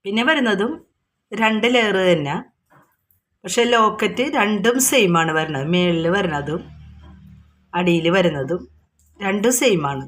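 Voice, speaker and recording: A woman's voice: mid-range voice, unhurried, long pauses, fairly bright tone, slight background noise. A woman with a Kerala accent informally showing products item by item, explaining each and repeating prices in an unscripted, repetitive way.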